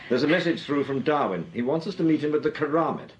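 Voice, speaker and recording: An actor playing a calm, inquisitive gentleman detective in a period radio crime drama, with urbane, old-fashioned phrasing. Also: on the high side, fast talker, highs cut off, slight background noise, close to an everyday voice. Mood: brisk, concerned.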